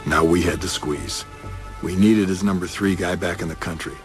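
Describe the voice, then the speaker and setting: A very low-pitched, world-weary male detective played by an actor recounts his case in clipped, deadpan noir voice-over. Faint music sits underneath. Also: moderate pace, close to an everyday voice. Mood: grim, matter-of-fact. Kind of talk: noir-like first-person crime drama voice-over.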